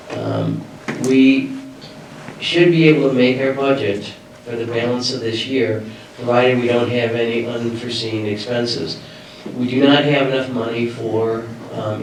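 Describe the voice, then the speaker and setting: A man with a head cold, sounding nasal, giving a treasurer's report at a board meeting with many fillers. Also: medium pitch. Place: meeting hall. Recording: echoey hall, distant mic, faint noise.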